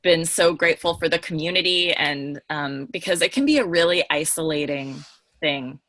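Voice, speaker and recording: A woman with a low-pitched, bright and forceful voice, recorded dry and close. She talks casually and candidly as a podcast panelist, emphatic and gushing in an informal way. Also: moderate pace, no room sound. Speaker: podcast panelist and book editor.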